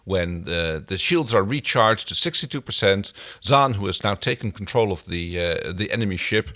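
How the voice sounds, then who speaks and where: A man co-hosting a podcast, talking casually and a little haltingly as he searches for words. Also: deep voice, lively intonation, bright, forceful tone, no room sound, studio quality. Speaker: podcast co-host. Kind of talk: casual fan discussion.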